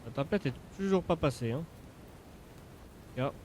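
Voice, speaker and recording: A man's voice: fairly high pitch, lively intonation, unhurried, a little mellow, slight background noise. Casual male gaming commentator. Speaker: gaming video creator.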